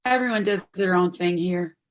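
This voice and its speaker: An actress playing a gentle, consoling mother who grieves her adult son, in a reflective stage play reading. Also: on the low side, normal speed, close to an everyday voice.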